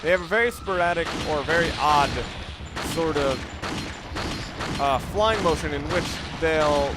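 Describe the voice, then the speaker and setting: A man giving casual, rambling video game commentary, talking through his reasoning aloud as he plays. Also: a little high. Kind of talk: casual let's play commentary.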